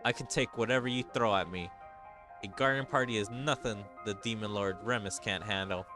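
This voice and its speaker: A man's voice: fairly low pitch, brisk, over music, natural voice. A man voicing a proud, boastful little demon lord girl from an anime game.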